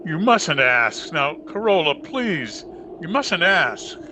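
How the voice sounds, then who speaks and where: A man playing a brooding, secretive murderer in old-time radio mystery style, grave, guarded and halting. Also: a little high, very animated. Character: brooding, secretive male murderer torn by love. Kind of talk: 1940s radio mystery acting. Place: at home, online video-call performance. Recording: noisy home internet-call recording.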